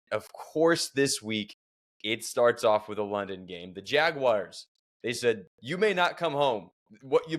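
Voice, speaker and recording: A young man leading a casual fantasy football podcast, joking with his co-host and running through the matchups with energy. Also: medium pitch. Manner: joking, energetic, leads the show.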